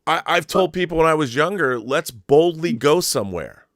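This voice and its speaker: A man's voice: a little high, lively intonation, moderate pace, fairly bright tone, no room sound, clean studio sound. A man chatting casually and enthusiastically as an interviewer, earnest and emphatic, often repeating words as he restarts his sentences.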